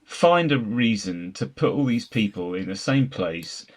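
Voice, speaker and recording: Man, middle-aged, lowish pitch, podcast host, casual discussion, wordy. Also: expressive, measured pace. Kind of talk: casual film and game discussion.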